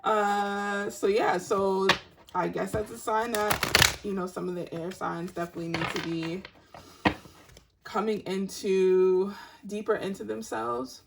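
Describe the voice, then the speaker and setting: A woman giving a tarot reading to her online audience in a soft, meandering, spiritual way, with a raspy voice. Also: medium pitch, fairly even intonation, slight background noise. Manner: soft-spoken and meandering, unhurried spiritual talk. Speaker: tarot reader.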